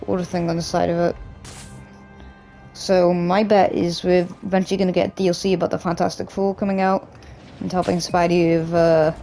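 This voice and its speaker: A man with a hoarse, croaky voice from a cough, casually rambling through gameplay commentary as a gaming YouTuber. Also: high voice, dark tone. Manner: rambling, loose, with many restarts and "like".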